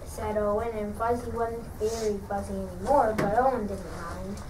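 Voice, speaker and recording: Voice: medium pitch, fairly even intonation, normal speed. A child reading scripted story narration aloud as part of a classroom play. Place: school classroom, indoors. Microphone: distant camera. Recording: echoey classroom, distant mic, noisy.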